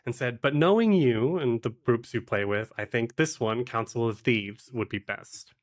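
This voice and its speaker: Male podcast guest, medium pitch, conversational and informal interview answers. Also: moderate pace. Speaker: podcast guest, actual-play podcaster and game master. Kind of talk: casual interview chat.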